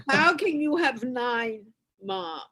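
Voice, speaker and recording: A woman's voice: sits high, lively intonation, very slow, a bit bright. A woman hosting a live online interview show, chatty and loose, laughing often. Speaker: show host.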